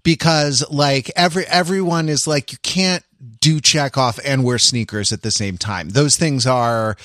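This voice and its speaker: A man co-hosting a podcast, talking casually and analytically in a halting, rambling, intellectual way with wry amusement. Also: medium pitch, fairly bright tone, no room sound, clean studio sound. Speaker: podcast co-host.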